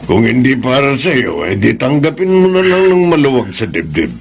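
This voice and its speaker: A man's voice: medium pitch, very animated, vocal fry, a little hiss or hum. A Filipino man playing a middle-aged, worldly-wise uncle in a radio drama, consoling and philosophical as he gives advice. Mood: consoling, resigned. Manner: measured, philosophical, advising. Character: consoling, worldly-wise uncle who has known heartbreak.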